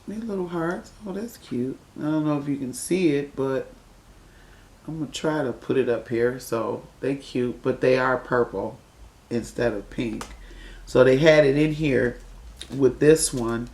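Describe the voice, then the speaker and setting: Woman, raspy from a cold, gravelly, casual chatty vlogger, roomy echo. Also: a bass voice, measured pace, darkish tone. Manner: chatty, admiring, talks to viewers as y'all. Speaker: unboxing vlogger. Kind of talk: casual unboxing chat. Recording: echoey room.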